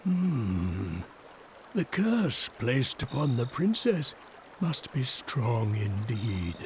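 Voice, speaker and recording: Slow, solemn old sage. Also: male voice, mid-range voice, sing-song, slight background noise, full character voice. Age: older. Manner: solemn, measured, formal. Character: grave, courteous old sage of mystical bearing. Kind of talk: video game voice acting. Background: game music.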